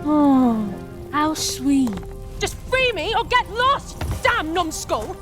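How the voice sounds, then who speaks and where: High-pitched, brash captive kid. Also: female voice, sing-song, takes it slowly, over music, heavily acted. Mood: scornful. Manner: insolent, pleading, exclamatory. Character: brash, bossy, sharp-tongued captive child.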